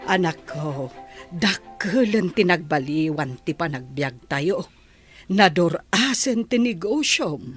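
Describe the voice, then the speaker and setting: A woman's voice: on the low side, lively intonation, takes it slowly, music in the background. A woman acting a caring, moralising mother of a grown son, earnest and advising, with an Ilocano accent in a radio drama.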